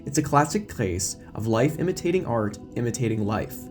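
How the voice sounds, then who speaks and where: A man's voice: mid-range voice, animated, fairly quick, over music, close to an everyday voice. A man playing a cocky, conniving young film student in an audio drama, debating smugly.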